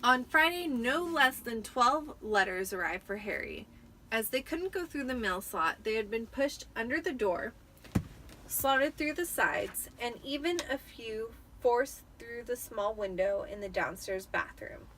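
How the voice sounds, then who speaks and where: A woman's voice: fairly high pitch, expressive, unhurried, sharp tone, a little hiss or hum, low-quality recording. A tipsy woman giving an amateur dramatic reading of a storybook. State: tipsy after drinking wine.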